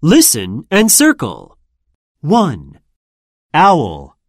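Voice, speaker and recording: A woman reading a scripted textbook listening drill, saying words slowly and deliberately for learners to repeat. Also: low voice, huge pitch swings, slightly rough, no echo, clean studio sound. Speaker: lesson narrator.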